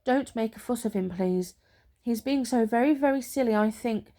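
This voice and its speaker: A woman's voice: on the high side, moderate pace, mellow, close to an everyday voice. Woman playing a stern, disapproving father. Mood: exasperated. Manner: firm, solemn, disapproving. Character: stern, no-nonsense father, exasperated by silliness.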